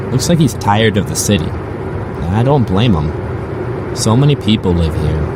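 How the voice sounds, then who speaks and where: A man's voice: deep voice, very noisy. A man playing a weary, brooding rideshare driver and father, narrating an audio drama in a somber, plain-spoken, introspective way.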